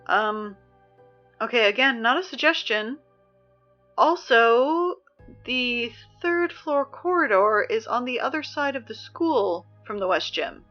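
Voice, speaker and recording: A woman's voice: fairly high pitch, expressive, slow pace, highs cut off, faint music behind. A woman playing an exasperated teenage girl who reads the school morning announcements, sarcastic and easily flustered. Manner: sarcastic, flustered, prone to outbursts. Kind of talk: comedic audio drama acting.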